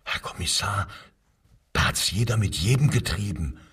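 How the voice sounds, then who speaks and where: An actor playing an evasive, servile official who pleads and justifies himself under interrogation in a radio crime drama. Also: male voice, fairly low pitch, expressive, normal speed, a bit bright, husky, far from the mic, heavily acted. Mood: spiteful, eager.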